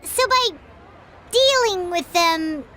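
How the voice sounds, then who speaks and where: Game role, childlike fairy sidekick: squeaky, cheeky, unhurried delivery, loud music underneath. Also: female voice, expressive, full character voice. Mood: puzzled, cheeky. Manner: refers to self in third person, exclaiming. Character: small childlike female floating fairy companion, excitable, cheeky. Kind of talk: video game voice acting. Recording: music behind the voice.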